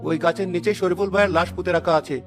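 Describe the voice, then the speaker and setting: A man's voice: sits high, fairly even intonation, fast talker, over music, lightly acted. A man playing an earnest young stranger seeking justice in a cartoon, persuasive and moralizing. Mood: solemn, righteous. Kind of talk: cartoon voice acting.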